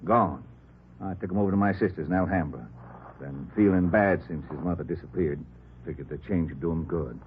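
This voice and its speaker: A male actor plays a combative, defensive nursery owner in middle age. He has a fairly low American voice and talks in gruff, curt bursts. It comes from an old radio drama with a narrow, slightly noisy sound. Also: fairly quick, close to an everyday voice. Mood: angry, defiant. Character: surly, evasive nursery owner, defensive husband and stepfather. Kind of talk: 1950s radio drama acting. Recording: band-limited old radio recording, background noise.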